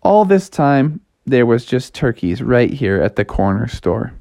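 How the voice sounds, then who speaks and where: An eager frog hunter from a children's story, played by a man, earnest and exclaiming. He is well-meaning and excitable, in a close, dry recording. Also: mid-range voice, unhurried, creaky, no room sound. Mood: amazed.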